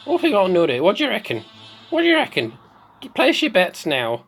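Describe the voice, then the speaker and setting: Someone putting on the voice of a slightly mad, excitable woman doing theatrical video game commentary, repeating phrases for effect. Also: mid-range voice, brisk, soft background music. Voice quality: put-on comic voice. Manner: theatrical, repeats phrases, swoops between squeals and murmurs.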